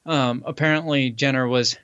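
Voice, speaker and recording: Sarcastic guy cohost, deadpan jabs, sound kinda dull and dry. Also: medium pitch, moderate pace, no room sound. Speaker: podcast co-host. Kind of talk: casual sarcastic banter.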